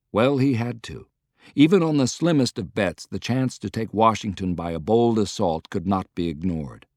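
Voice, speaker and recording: A man's voice: lowish voice, darkish tone, no echo, studio quality. A man reading a historical novel as scripted, dramatic audiobook narration.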